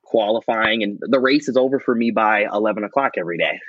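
A man's voice: lowish voice, quick pace, a bit bright, no room sound. Chatty man with a Wisconsin accent. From Wisconsin, USA. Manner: chatty, filler-heavy, rambling. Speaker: podcast guest. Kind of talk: casual chat. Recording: dull, lo-fi audio.

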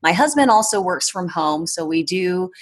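A woman in her thirties talking casually as a podcast guest in a measured, thoughtful way. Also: on the low side, expressive, breathy edge. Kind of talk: casual conversation.

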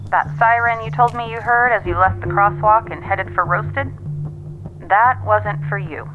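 A woman's voice: mid-range voice, a little slow. A woman acting in an audio drama as a sardonic, self-assured investigator relaying findings matter-of-factly over a phone line. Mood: grave, confiding. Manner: matter-of-fact, dryly wry. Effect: telephone filter. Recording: tinny phone line, music underneath.

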